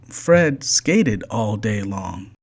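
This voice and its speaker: A storyteller reading a children's storybook aloud in a deliberate, expressive way, with a medium-pitched voice. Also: slightly rough. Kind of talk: scripted children's storybook narration.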